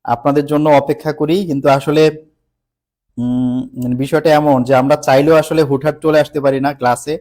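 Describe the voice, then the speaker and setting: A man's voice: a little high, level tone, brisk, darkish tone. A man teaching an online English grammar class for exam preparation. He explains patiently, repeats key points and puts questions to his students. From Bangladesh.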